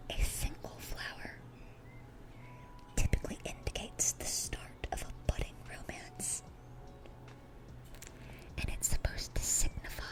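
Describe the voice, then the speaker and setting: A woman playing a dorky, smitten young demon girl in a gentle, rambling, affectionate ASMR roleplay. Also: natural voice.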